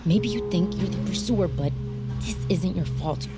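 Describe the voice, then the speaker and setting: An actress playing a stern, insistent young army recruit in an audio drama. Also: female voice, fairly low pitch, moderate pace, over loud music, lightly acted. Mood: earnest, firm. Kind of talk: audio fiction acting.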